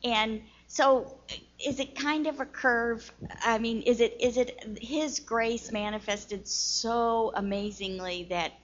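A woman's voice: fairly high pitch, steady tone, slow. Female student, hesitant questioner, lo-fi room sound. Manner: hesitant, many fillers.

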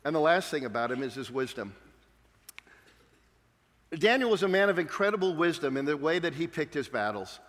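A male pastor preaching in an emphatic, rhetorical way, his voice sitting a little high for a man. His words ring out with a long hall reverb, as if he is standing in a large church auditorium. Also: big pitch swings, a little fast, pauses often, fairly bright tone. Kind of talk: sermon.

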